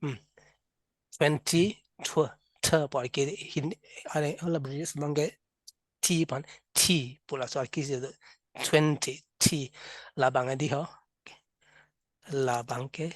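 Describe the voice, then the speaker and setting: A man with a Karbi accent teaching an English class, drilling words and sounds slowly and having students repeat. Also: fairly high pitch, steady tone, dry recording. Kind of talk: classroom lesson, mixing local language and English.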